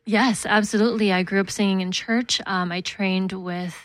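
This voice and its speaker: A young woman, a stage actress born in the Philippines and raised in Winnipeg, speaking softly and graciously. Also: mid-range voice, fairly even intonation, normal speed, no echo. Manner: soft-spoken, gracious, with light laughs.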